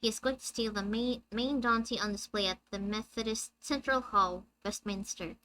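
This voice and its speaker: A young woman with a high-pitched, squeaky voice, chatting with her viewers on a live game stream and reading the story text aloud. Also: moderate pace, pushed and bright, slight background noise, low-quality recording. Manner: chatty, reads dialogue aloud and answers chat.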